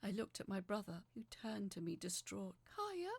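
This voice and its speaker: A woman's voice: medium pitch, fairly even intonation, moderate pace, vocal fry, darkish tone, slightly rough, dry recording. A young woman performing a dramatic first-person horror narration in an emotive, suspenseful way.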